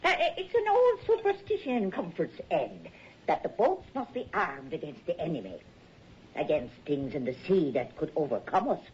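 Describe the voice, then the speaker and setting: An actress in a radio drama playing a motherly, folksy seaside landlady with a husky voice, on a dull recording. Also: low-pitched, huge pitch swings, normal speed, darkish tone, a little hiss or hum. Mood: matter-of-fact, hospitable. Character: motherly small-town maritime landlady, folksy and coaxing.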